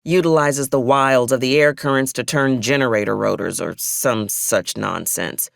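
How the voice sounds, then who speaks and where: A woman's voice: very deep voice, moderate pace, vocal fry, no echo, clean studio sound. A woman playing a ruthless, wry military commander in a video game, speaking in a clipped, folksy and old-fashioned soldierly manner with sardonic menace. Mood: brisk, businesslike. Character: ruthless, wry female military officer, cold pragmatic commander. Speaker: acquisitions officer character in a video game. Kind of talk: scripted video game character dialogue.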